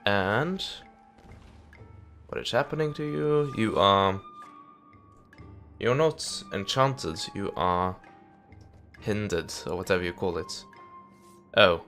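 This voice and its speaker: A man's voice: on the low side, expressive, slow, pauses often, a bit bright, faint music behind. A young man with a stuffy nose and nasal voice, chatting casually while commenting on a strategy game. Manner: chatty, muttering to the game, mild swearing. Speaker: gameplay commentator. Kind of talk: let's play commentary.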